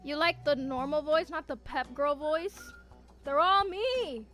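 A woman's voice: high voice, measured pace, a bit bright, faint music behind. A woman livestreaming games, chatting casually and teasingly with viewers, with playful theatrical emphasis. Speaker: VTuber game streamer. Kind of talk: casual livestream chat, reacting to viewers' messages.

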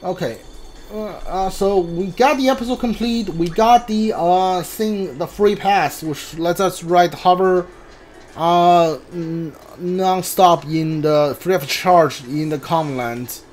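A game streamer giving casual commentary, thinking aloud haltingly and muttering through lists over game music. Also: mid-range voice, darkish tone, a little hiss or hum. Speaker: streamer playing a video game.

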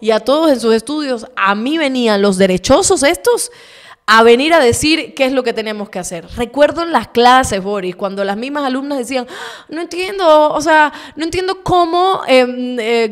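A woman's voice: sits high, lively intonation, normal speed. A woman in her thirties with an Ecuadorian accent, hosting a political talk show and venting with indignation. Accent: Ecuadorian Spanish. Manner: indignant, emphatic, swears. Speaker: show host. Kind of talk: heated political commentary. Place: home studio, indoors. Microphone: desk mic on stand, video call.

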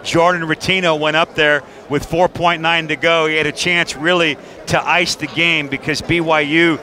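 A man's voice: fairly high pitch, level tone, moderate pace, sharp tone. A middle-aged man interviewing after a game as a sports broadcaster, conversational, speaking into a headset mic. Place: courtside in an indoor arena. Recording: crowd noise in busy arena.

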